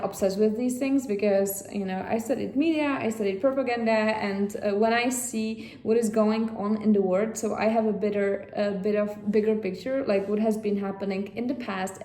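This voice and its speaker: A woman from Czechia with a Czech accent, chatting casually to viewers as a vlog host in a rambling, halting way. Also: a little high, dark tone. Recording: echoey room.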